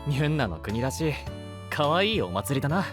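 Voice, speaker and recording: A brash young male knight for an anime-style audio drama. His speech is rough and slangy, swinging widely in pitch as he gets flustered or exasperated, with faint music behind. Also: big pitch swings, normal speed. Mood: wry.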